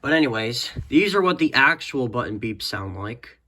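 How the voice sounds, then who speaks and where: A man's voice: medium pitch, big pitch swings, normal speed. A man giving a casual, unscripted product demo in a rambling, hesitant way, often trailing off into "so yeah". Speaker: hobbyist video maker. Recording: clearly noisy amateur home recording.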